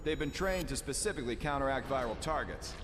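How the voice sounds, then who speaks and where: A man's voice: mid-range voice, expressive, fast talker, lightly acted. A man playing a confident military officer in a video game, briefing a superior in an assured, formal, deferential manner. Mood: assured, proud. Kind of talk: video game cutscene dialogue. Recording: long hall reverb, noisy vehicle ambience.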